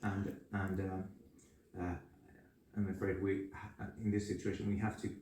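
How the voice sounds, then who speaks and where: A man conducting an assessment interview, polite and reassuring, with many hesitant pauses. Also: sits low, flat delivery, darkish tone. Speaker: interviewer assessing psychiatric need. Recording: echoey room, faint noise and clicks.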